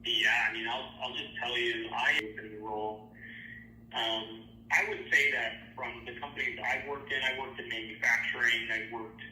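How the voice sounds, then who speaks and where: A man's voice: medium pitch, steady tone, normal speed, sharp tone. A man conducting a casual but professional job interview by phone, explaining things informally and checking in as he goes. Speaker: job interviewer, hiring manager. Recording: roomy, distant phone line, faint noise.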